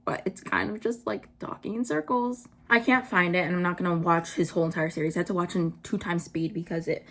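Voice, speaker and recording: A woman's voice: steady tone, brisk, few pauses, a bit bright. Young woman vlogging, rambly and blunt, lots of like, swears, voice shoots up when she's annoyed. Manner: blunt, swears, many "like" fillers; sudden jumps up in pitch when worked up. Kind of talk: casual drama commentary.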